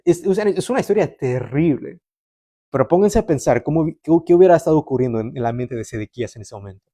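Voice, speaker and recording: Higher-voiced man preaching, mellow tone. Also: animated, fast, no echo, clean studio sound. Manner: earnest, explanatory, rhetorical questions and repetition for emphasis. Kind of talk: sermon, conversational storytelling.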